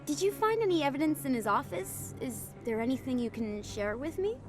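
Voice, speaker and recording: A woman's voice: high-pitched, big pitch swings, a little fast. An actress playing an eager young woman journalist who asks probing questions. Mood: inquisitive. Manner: probing, inquisitive. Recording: game scene with music.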